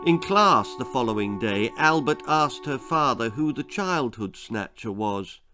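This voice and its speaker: A man reading a scripted children's horror-comedy story as its author, in a dramatic, expressive manner. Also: mid-range voice, unhurried. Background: faint music.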